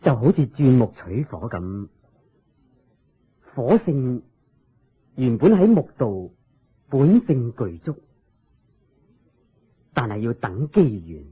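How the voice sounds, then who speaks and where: An actor playing a serene, wise Buddha in a Cantonese radio drama, teaching in measured phrases with a husky voice and a Hong Kong accent. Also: male voice, medium pitch, big pitch swings, exaggerated voice. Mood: calm, teaching. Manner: measured, sermon-like explaining in short phrases. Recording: dull lo-fi radio drama recording.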